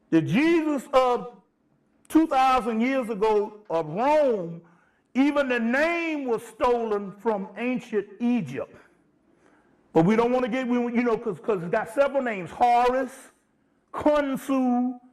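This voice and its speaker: A man's voice: very high voice, poor audio. A man giving an informal spiritual lecture in an emphatic, conversational way, often checking that his listeners follow.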